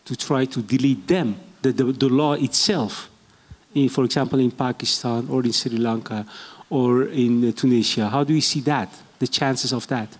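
A man's voice: medium pitch, normal speed. A man moderating a panel on stage, asking loose questions with many short acknowledgements. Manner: brief backchannels, loose questions. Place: theater stage before an audience. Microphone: handheld mic.

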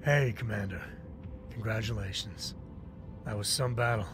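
An actor playing a gracious older mercenary patron in a video game cutscene, speaking in a measured, formal, articulate way. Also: male voice, on the low side, vocal fry, music in the background. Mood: congratulatory.

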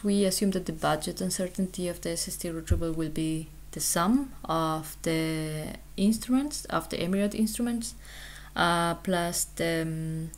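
Female scientist presenting at a conference; lowish pitch, hesitant, explanatory, many filled pauses. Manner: hesitant delivery with frequent pauses and ums.

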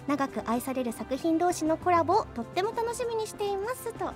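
A woman's voice: very high voice, sing-song, quick pace, faint music behind. A woman hosting a radio programme, reading out messages and announcements. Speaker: radio show host.

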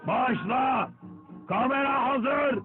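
A villainous, bossy cartoon film director. The voice is gravelly and pitched high, leaping around as he barks and schemes. It comes from a muffled, lo-fi soundtrack with music behind. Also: slow. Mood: bossy, barking. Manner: bossy barking, swinging to oily muttering. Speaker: cartoon voice actor. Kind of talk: theatrical Turkish cartoon dubbing.

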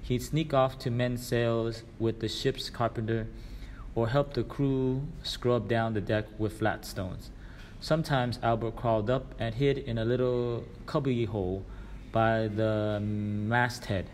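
A man's voice: mid-range voice, fairly even intonation, measured pace, darkish tone, a little hiss or hum. A man reading a children's storybook aloud as scripted narration. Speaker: reader.

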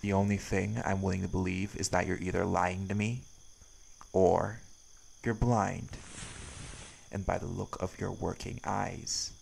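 A man playing a brash, threatening male werewolf in an audio roleplay, gruff and hostile. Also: low voice, level tone, a little slow, darkish tone, a little hiss or hum, exaggerated voice. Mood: menacing. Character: brash, menacing male werewolf hunting a vampire. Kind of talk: dramatic audio roleplay acting.

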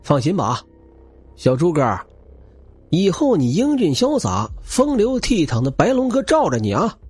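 A man plays a cocky young male hero of an audiobook, teasing and boastful. His delivery is very animated and a little husky. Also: fairly high pitch, unhurried, no echo, faint music behind, full character voice. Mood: teasing reassurance. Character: cocky, easygoing young man protecting a boy.

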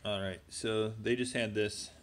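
A man hosting a casual hands-on walkthrough, thinking aloud in a halting way, recorded on a handheld phone in a garage. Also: on the low side, fairly even intonation. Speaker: host showing a repair project. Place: indoors in a garage. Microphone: handheld phone camera, off screen.

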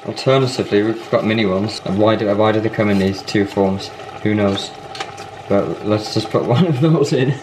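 A man's voice: on the low side, moderate pace. A young man in a home kitchen, chatting casually and with self-mocking bemusement as he fumbles through a recipe. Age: young adult. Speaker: vlogger. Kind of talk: casual cooking vlog. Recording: cooking noise behind.

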